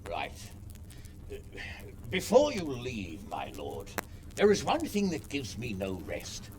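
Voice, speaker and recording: An actor playing a stern, sceptical older noble uncle in a game, gravelly and commanding. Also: male voice, medium pitch, huge pitch swings, slow, a little hiss or hum. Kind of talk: video game cutscene acting.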